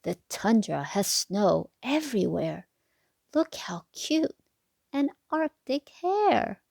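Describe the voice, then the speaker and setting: A woman reading a children's picture book aloud with expressive, deliberate phrasing. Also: mid-range voice, gravelly, no room sound, studio quality. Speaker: read-aloud narrator for children.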